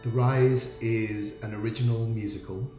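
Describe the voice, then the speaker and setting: Low-voiced man in an echoey room. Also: fairly even intonation, normal speed. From North of England. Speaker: guest musical theatre writer. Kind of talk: explaining own creative work. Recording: echoey room, music behind.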